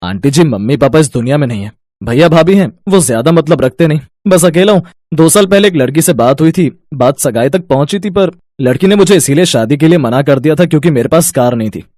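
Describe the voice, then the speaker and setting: A man playing a higher-voiced, polite young neighbour. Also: animated, fairly quick, darkish tone, dry recording, studio quality. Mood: earnest, wistful. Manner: polite, earnest, respectful. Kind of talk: Hindi family storybook dialogue.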